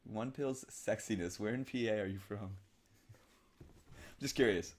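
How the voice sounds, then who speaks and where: A young man in his early twenties from Pennsylvania, co-hosting a casual livestream and excitedly reading viewer comments aloud. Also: fairly low pitch. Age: young adult. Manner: excitable, jokey, reads comments aloud quickly. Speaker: livestream co-host.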